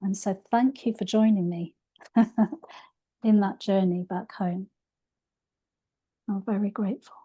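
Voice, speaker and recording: A woman reading aloud from her own spiritual book in a soft, unhurried way, her voice a little croaky. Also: medium pitch, fairly even intonation. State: slightly croaky voice. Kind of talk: slow gentle book reading.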